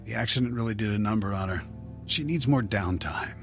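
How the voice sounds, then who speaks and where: A man's voice: fairly low pitch, fairly quick, faint music behind, lightly acted. An actor playing a controlling, uneasy husband in a radio drama, hesitant and stonewalling as he speaks. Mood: guarded, resistant. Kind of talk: modern radio drama acting.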